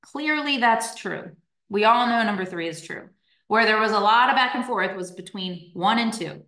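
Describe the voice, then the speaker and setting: A woman's voice: medium pitch, lively intonation, moderate pace, fairly bright tone. A woman in her thirties, a strength coach, talking casually and upbeat to her online group, with lots of fillers and tag questions. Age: 30s. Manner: chatty, upbeat, many fillers and "right?". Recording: roomy echo.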